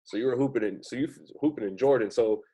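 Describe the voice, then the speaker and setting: A man's voice: medium pitch, quick pace, dark tone, breathy edge, rough recording. A man in his twenties hosting a podcast from home over a webcam call, casual and friendly with short questions. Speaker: podcast host. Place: room at home, indoors. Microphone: webcam video call.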